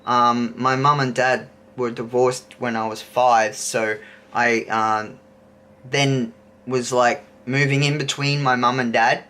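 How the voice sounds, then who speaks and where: Slow-talking Australian man, bright voice. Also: mid-range voice. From Queensland, Australia. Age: 30s. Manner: rambling, thinking aloud. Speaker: YouTuber host. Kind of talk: casual chat to camera.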